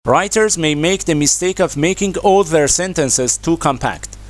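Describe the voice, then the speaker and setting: A man reading academic practice passages aloud in a measured, formal, scripted way. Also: a little high, dry recording. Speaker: narrator of exam practice readings.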